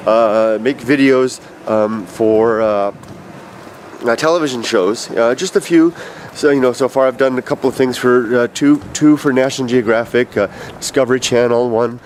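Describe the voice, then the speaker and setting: Stuffed-up fifties man, casual hesitant vlogger, recorded outdoors with clear traffic noise. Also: mid-range voice, a little mellow. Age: 50s. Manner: rambling, halting, with many fillers and restarts. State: stuffed up with allergies. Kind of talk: casual video message. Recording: noisy outdoor street recording.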